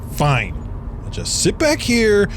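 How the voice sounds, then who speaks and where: An actor playing a goofy, carefree snack-loving slacker who talks slowly and gets excitable, in a radio drama. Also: male voice, medium pitch, vocal fry, exaggerated voice. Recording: steady road noise behind. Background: car ambience.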